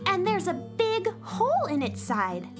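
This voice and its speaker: A woman's voice: extremely high pitch, huge pitch swings, a little slow, music in the background, full character voice. A woman playing an eager, earnest young space cowgirl in a children's radio play. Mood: eager, concerned.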